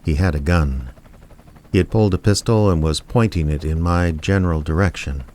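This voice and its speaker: A man playing a wry, reluctant small-town amateur detective, narrating and talking in a deadpan way in an audio drama. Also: sits low, normal speed, a little hiss or hum, close to an everyday voice. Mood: wry, reflective.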